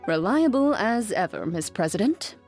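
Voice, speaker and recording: A woman's voice: medium pitch, very animated, moderate pace, a little mellow, faint music behind. A woman voicing a cool, composed, formal and reserved woman in a video game. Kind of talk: game voice acting.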